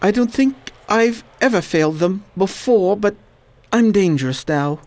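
A woman voicing a weary, Oxford-educated man fighting a plague, speaking low and wry in a radio drama. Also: expressive, a little slow, darkish tone. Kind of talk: amateur radio drama acting.

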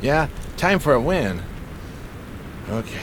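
A man's voice: mid-range voice, big pitch swings, slow pace, noisy background. An actor playing a scheming homeless man in a 1920s radio drama, with florid, mock-genteel diction and a hapless air. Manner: florid, ornate, theatrically polite diction. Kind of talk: radio drama acting, 1920s period piece.

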